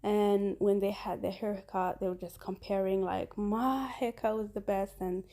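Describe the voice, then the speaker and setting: A woman's voice: medium pitch, steady tone, measured pace, warm tone. A woman whose first language is Somali, in her thirties, chatting casually through her travel vlog with many fillers and restarts. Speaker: vlogger.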